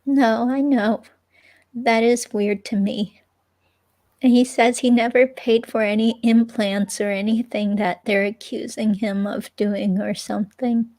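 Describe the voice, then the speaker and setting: A woman reading the part of an evasive, unsure ex-girlfriend in a police interview, with a creaky, hesitant, rambling delivery. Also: on the high side, steady tone, measured pace, mellow. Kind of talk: dramatic reading of an interview transcript, conversational.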